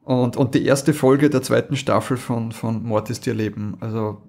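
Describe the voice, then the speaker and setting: A man, co-hosting a podcast in casual chat, rambling and halting as he talks. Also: medium pitch, steady tone, darkish tone. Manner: rambling, halting, lots of fillers. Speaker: podcast co-host.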